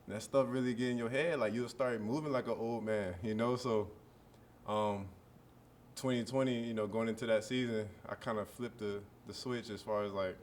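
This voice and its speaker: A man in his early twenties, a college football player, answering press questions in a relaxed, rambling way full of fillers. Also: on the low side, normal speed, a little hiss or hum. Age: early 20s.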